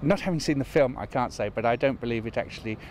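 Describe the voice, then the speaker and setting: Measured man interviewed amid street noise. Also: mid-range voice, darkish tone. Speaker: council politician. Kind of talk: news interview answer.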